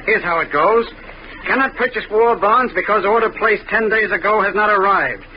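High-pitched, breezy know-it-all clerk played by a man. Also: moderate pace, close to an everyday voice. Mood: assured. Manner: assured, explaining. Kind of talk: 1940s radio comedy acting. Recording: muffled, noisy old radio recording.

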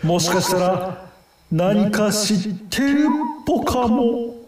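Elderly wise owl, pompous, hooting tic, mellow tone, lively pitch, old-fashioned speech, audio drama, roomy sound. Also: slow, far from the mic. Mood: pondering. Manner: old-fashioned, ponderous, theatrical.

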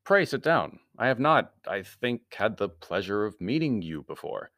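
A man voicing an urbane, gently mocking gentleman adventurer in a story reading, polite and dryly ironic. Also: lowish voice, sing-song, normal speed, dry recording, clean studio sound. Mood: suave, mock-polite.

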